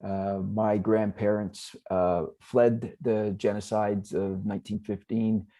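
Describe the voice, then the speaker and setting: A man's voice: on the low side, little pitch movement, slow pace, dark tone. A middle-aged man, a former police chief, introducing himself formally with many fillers. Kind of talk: panel introduction.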